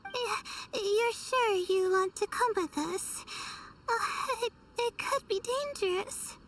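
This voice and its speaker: A woman voicing a timid, anxious, sleepy young student in a video game, with a hesitant, halting delivery. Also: very high voice, far from the mic, a little hiss or hum, exaggerated voice, poor audio. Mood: nervous, hesitant. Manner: hesitant, halting, soft-hearted. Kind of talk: scripted video game voice acting.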